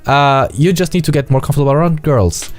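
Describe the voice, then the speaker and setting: A man with a German accent, whose first language is German, reading a visual novel aloud and adding his own remarks, careful and sometimes halting. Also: on the high side.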